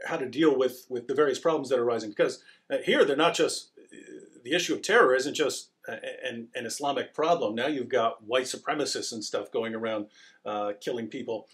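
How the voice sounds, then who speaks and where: A man's voice: medium pitch, brisk. A man hosting an interview, asking a long question hesitantly, with many fillers and restarts as he builds his point. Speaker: interviewer, channel host.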